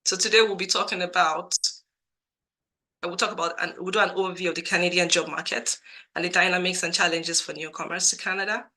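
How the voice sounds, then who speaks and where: A woman's voice: on the low side, steady tone, fast talker, pushed and bright. A woman from Nigeria hosting a live career webinar over a video call, earnest and repetitive for emphasis, her voice a little cracked from a cold. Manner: earnest, repeats herself for emphasis. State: has a cold, voice a bit cracked. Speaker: webinar host and career coach. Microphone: computer mic on a video call.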